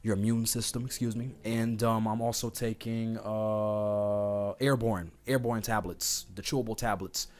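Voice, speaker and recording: A man with a slightly hoarse voice from being sick, talking casually and directly to his listeners as an online host giving rambling advice. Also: on the low side, steady tone, unhurried, hardly any pauses. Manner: informal, conversational, addresses listeners directly. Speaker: host of an online talk series. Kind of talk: casual rambling advice chat over gameplay. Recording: clear background noise, game sounds. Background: video game playing in the background.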